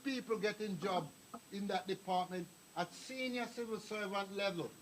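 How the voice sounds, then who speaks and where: This is an older man, talking at a meeting hall. He tells stories, drifts off topic and calls out to people in the audience. His voice sits high, with a faint hiss under it. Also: moderate pace. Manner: rambling, anecdotal, addresses the crowd directly. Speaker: speaker at a community meeting. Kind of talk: public address to an audience.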